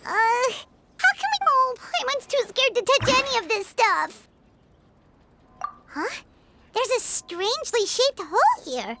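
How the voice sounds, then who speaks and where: A woman voicing a tiny, excitable childlike fairy companion in a video game, squeaky and chattery. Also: slow, long pauses, very noisy, heavily acted. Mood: flustered, curious. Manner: chattery, refers to self by name. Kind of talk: cartoonish video game voice acting.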